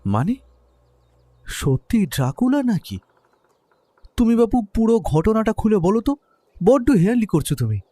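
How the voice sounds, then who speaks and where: An actor playing a detective's curious, slightly anxious friend, telling the story expressively. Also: male voice, sits high, sing-song, measured pace, long pauses, dark tone, no echo, full character voice. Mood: anxious, questioning. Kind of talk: dramatised first-person story narration. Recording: background music under the voice.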